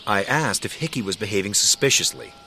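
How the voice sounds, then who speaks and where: A man's voice: lowish voice, lively intonation, brisk, sharp tone, slight background noise. An actor playing a skeptical, blunt male police sergeant in a radio drama. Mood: skeptical, pressing. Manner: blunt, probing.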